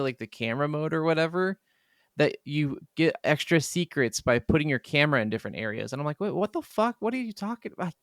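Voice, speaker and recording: A man with a smooth, clear voice talks casually as a podcast co-host. He sounds informal and conversational, recorded close and dry with no room sound. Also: on the high side, no room sound. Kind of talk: casual chat about video games.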